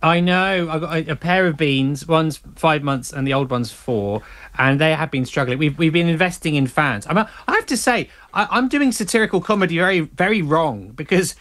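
A man's voice: on the high side, expressive, moderate pace, fairly bright tone. A man chatting as a radio guest from his garage at home, hesitant with many fillers and restarts. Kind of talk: casual chat.

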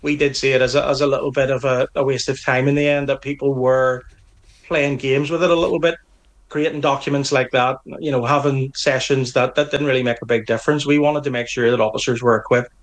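A man's voice: mid-range voice, little pitch movement, a little fast. A middle-aged man with a Northern Irish accent, answering interview questions firmly and earnestly, often restarting his phrases. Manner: earnest, firm, with frequent restarts. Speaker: police association representative.

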